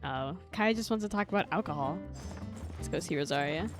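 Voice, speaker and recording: A woman's voice: deep voice, lively intonation, fairly quick. A young woman live streaming a game with casual, slangy, sweary commentary, often repeating her phrases, with game music behind her. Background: video game music.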